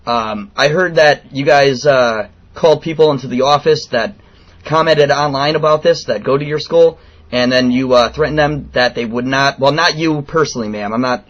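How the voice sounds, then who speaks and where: A man in his late twenties making an earnest, persistent complaint over the phone in a halting, informal way on a lo-fi recording. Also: mid-range voice, fairly bright tone. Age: late 20s. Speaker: radio show host calling in a complaint. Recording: dull, lo-fi phone call recording.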